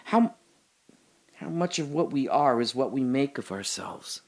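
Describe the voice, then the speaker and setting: A man's voice: medium pitch, animated, unhurried. An actor playing a man alone in a holding cell who talks through his doubts about his memories in an audio drama monologue, musing and questioning, turning from wry to earnest.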